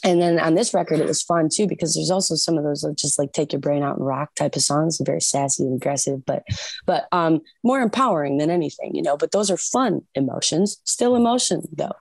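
A singer chatting as an interview guest, animated and laughing often, with many restarts. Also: female voice, deep voice, a little fast, vocal fry, breathy edge, dry recording. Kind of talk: casual interview chat.

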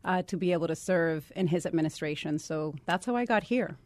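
Low-voiced woman from Puerto Rico. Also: fairly quick, darkish tone, no echo. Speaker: interview guest, government office director. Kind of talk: conversational interview answers.

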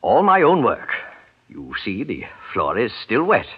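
A man's voice: lowish voice, wildly swooping pitch, a little slow, breathy edge, exaggerated voice. An actor playing a gloating, mock-polite murderer in a 1940s horror radio play, theatrically savoring his words. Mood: gloating, coaxing. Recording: muffled vintage 1940s radio recording.

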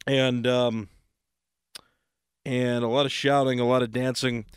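An American man hosting a sports talk radio show in a casual, unhurried monologue, pausing mid-thought, his voice slightly hoarse. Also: mid-range voice, little pitch movement, no room sound, studio quality.

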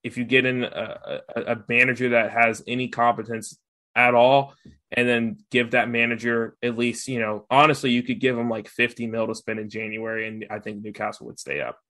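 A man's voice: mid-range voice, steady tone, normal speed, sharp tone. A young man, a guest on a sports podcast, chatting casually with many fillers and restarts. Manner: filler-heavy, lots of "like".

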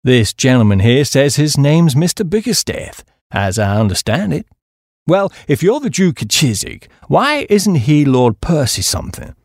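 A man's voice: fairly high pitch, sing-song, normal speed, dry recording, studio quality. A man voicing a stout, suspicious American small-town businessman in an audiobook, blunt and insistent. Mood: challenging.

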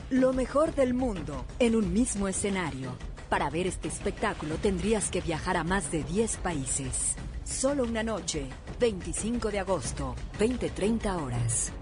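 A woman with a Mexican accent reading a scripted promotional voice-over for an event. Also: medium pitch. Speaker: advert announcer.

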